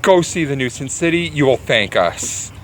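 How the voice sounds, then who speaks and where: Blunt man, mid-pitched, slight background noise. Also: expressive, moderate pace, a bit bright. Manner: blunt, opinionated, joking. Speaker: podcast co-host. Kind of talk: casual film review chat. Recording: faint background urban ambient noise.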